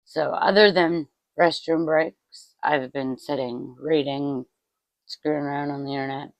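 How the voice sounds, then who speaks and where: A woman's voice: deep voice, fairly even intonation, a little slow, no room sound, rough recording. A woman in her forties chatting casually to her vlog audience at home, self-mocking and swearing freely. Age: 40s. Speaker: vlogger.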